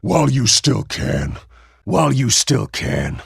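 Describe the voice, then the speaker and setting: A man voicing a grim, driven vigilante in a video game, terse and commanding, with a gravelly, husky voice. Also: mid-range voice, big pitch swings, slow, dry recording, studio quality, exaggerated voice. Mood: menacing. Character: grim, driven masked male vigilante and wealthy crusader.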